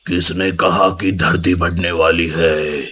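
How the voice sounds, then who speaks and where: A man as a deep, stern lion king. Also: level tone, slow pace, pushed and bright, exaggerated voice. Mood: commanding. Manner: scolding, authoritative. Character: stern commanding male lion, king of the jungle. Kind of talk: Hindi cartoon moral story dialogue.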